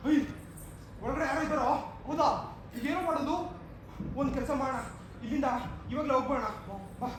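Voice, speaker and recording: A man's voice: very high voice, steady tone, a little fast. A man on stage playing a hostile village bigot, with a scolding, commanding manner. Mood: alarmed, furtive. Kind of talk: amateur stage play dialogue. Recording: very echoey hall, distant mic, noisy.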